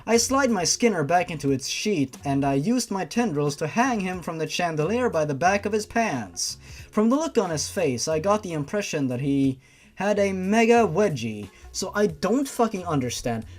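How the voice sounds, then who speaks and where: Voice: medium pitch, animated, normal speed, soft background music. A host reading fan fiction aloud and breaking in with sarcastic, exasperated, sweary commentary. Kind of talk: story reading with mocking commentary.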